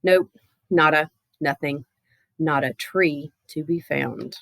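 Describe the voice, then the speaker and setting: A woman reading a children's picture book aloud in a playful, expressive way with theatrical emphasis. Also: deep voice, slow pace, vocal fry, slight background noise. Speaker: storybook reader.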